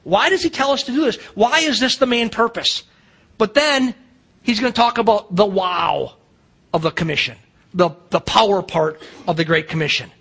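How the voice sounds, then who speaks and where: High-pitched American male pastor preaching. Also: normal speed, creaky, a bit bright, slightly rough. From United States. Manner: emphatic, rhetorical questions, acts out remembered dialogue. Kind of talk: sermon.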